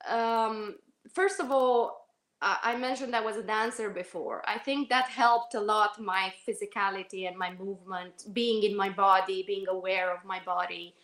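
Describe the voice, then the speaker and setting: Actress, mid-pitched, reflective interview guest who backtracks often; roomy recording. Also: female voice, steady tone, normal speed, a bit bright. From Romania. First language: Romanian. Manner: thoughtful, self-correcting, many fillers and restarts. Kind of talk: casual interview answers. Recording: echoey room.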